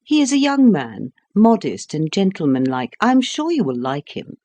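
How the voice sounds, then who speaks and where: Male reader doing a high, gushing society woman for an audiobook; eager, insistent. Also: huge pitch swings, normal speed, mellow, dull audio, exaggerated voice.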